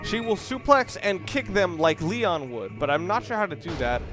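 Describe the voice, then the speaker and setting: A man's voice: high-pitched, animated, moderate pace. A man giving casual, offhand and somewhat rambling commentary while playing a video game. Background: video game music.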